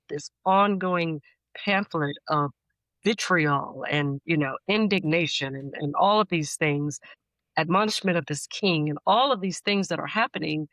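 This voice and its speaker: A woman's voice: on the low side, a bit bright, no room sound, poor audio. An American woman talking as a guest poet in a conversational interview, explaining her ideas thoughtfully and with emphasis.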